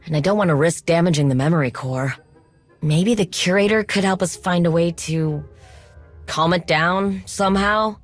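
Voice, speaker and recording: A woman's voice: on the low side, normal speed, soft background music, natural voice. A woman playing a resourceful, determined tech specialist in a video game, speaking in a casual, practical way. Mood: wry, concerned.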